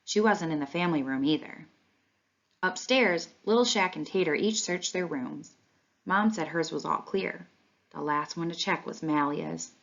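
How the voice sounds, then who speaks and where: A woman reading a children's storybook aloud in an expressive, animated way. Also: on the low side. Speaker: read-along reader. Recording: dull, lo-fi sound.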